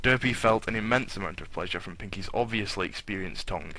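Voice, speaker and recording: A man with a fairly low, bright and forceful voice gives a dramatic fan fiction reading. He is irreverent and foul-mouthed, and he drops out of the narration into casual banter. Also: fast talker, poor audio.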